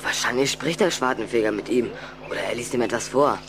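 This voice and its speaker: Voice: mid-range voice, brisk, noisy background, natural voice. A performer playing an earnest, hesitant young schoolboy in a children's audio drama, patiently explaining and reassuring.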